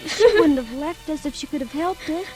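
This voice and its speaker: An actress in a 1940s radio drama playing a young, protective, soothing elder sister. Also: female voice, high-pitched, a little fast, a little mellow, lightly acted, poor audio. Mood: tender, reassuring. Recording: vintage radio recording with music behind.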